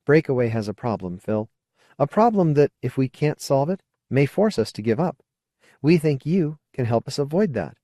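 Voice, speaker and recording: A man's voice: medium pitch, big pitch swings, normal speed, dark tone, no echo, studio quality, voice pushed up. A man voicing a frank, earnest, coaxing woman in an audiobook. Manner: earnest, coaxing, plain-spoken. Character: frank, persuasive woman colonist, old friend. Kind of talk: audiobook character dialogue.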